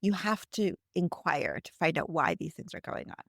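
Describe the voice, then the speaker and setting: Creaky, low-voiced woman podcast host. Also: very animated, moderate pace, a bit bright, no room sound, studio quality. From Canada. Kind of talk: conversational podcast chat.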